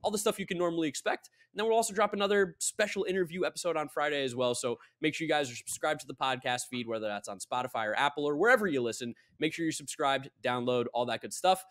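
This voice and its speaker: A man's voice: fairly high pitch, quick pace, hardly any pauses, fairly bright tone. A man hosting a casual sports podcast in an upbeat, informal way. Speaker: podcast host.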